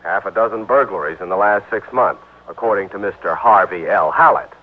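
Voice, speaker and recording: Actor as chummy, low-voiced American insurance man. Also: brisk, phone-quality sound, natural voice. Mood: confiding, grumbling. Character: chummy, grumbling married insurance company man. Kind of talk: 1950s radio drama acting. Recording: old band-limited radio broadcast recording.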